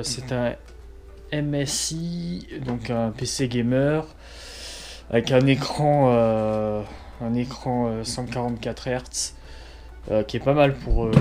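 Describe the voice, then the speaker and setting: A man's voice: mid-range voice, a little mellow. Casual, sweary man vlogging. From southwestern France. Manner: slangy, sweary, informal. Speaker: car vlogger. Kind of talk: casual chat to camera.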